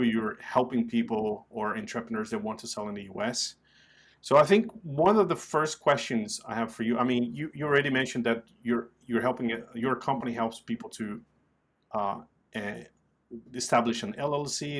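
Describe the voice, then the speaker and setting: A man's voice: mid-range voice, fairly quick. A man hosting a podcast, introducing the show and putting questions to a guest. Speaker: podcast host. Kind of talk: scripted intro and interview questions.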